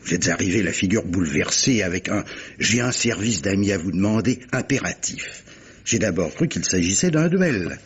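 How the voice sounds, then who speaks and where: A man's voice: deep voice, animated, moderate pace, breathy edge, muffled recording, exaggerated voice. A man playing a worldly, jovial former army captain turned amateur sleuth, theatrical and wry, in an old-style radio mystery. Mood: wry, amused. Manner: theatrical, leisurely, wry, chuckling.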